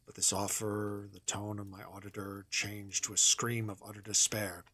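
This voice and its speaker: A man narrating a horror story in a hushed, whispery, lingering voice for his stream audience. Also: on the low side, level tone, moderate pace, breathy edge.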